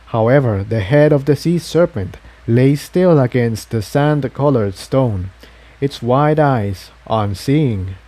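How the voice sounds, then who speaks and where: A man's voice: medium pitch, mellow. A man narrating an adventure story from a script. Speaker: fan fiction reader, narrator.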